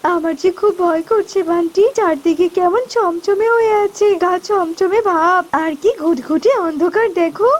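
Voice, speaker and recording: Woman as a shrill, fretful young female bird. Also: normal speed, hardly any pauses. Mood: frightened. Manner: anxious, pleading.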